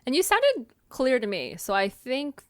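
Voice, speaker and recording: A woman's voice: high-pitched, huge pitch swings, unhurried, a loud crowd. A woman hosting a podcast in a casual, easygoing chat. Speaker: podcast host.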